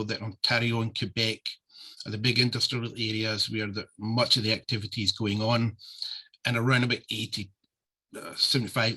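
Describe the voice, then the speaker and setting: A man's voice: lowish voice, bright, forceful tone, slightly rough, low-quality recording. A man with a Scottish accent giving a friendly, loosely spoken webinar presentation as a trade office deputy director, on a video call. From Scotland. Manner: friendly, loose, with many uh fillers.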